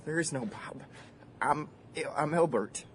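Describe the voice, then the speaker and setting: Frightened high-pitched young store clerk acted by a man. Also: a little slow, slight background noise, lightly acted. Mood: nervous, apologetic. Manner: pleading, folksy ungrammatical speech. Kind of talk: audio horror drama acting.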